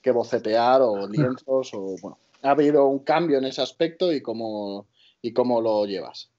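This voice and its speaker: A man's voice: medium pitch, normal speed, dark tone. A man interviews in a casual, conversational register. He rambles a little and often reshapes a sentence halfway through. The audio is slightly dull and lo-fi.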